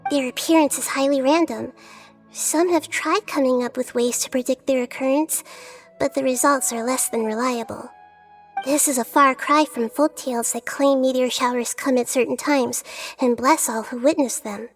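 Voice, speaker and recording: A woman voicing a gentle, wise, childlike little deity in a video game, speaking in a measured, thoughtful way. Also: sits high, fairly even intonation, faint music behind. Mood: calm explaining.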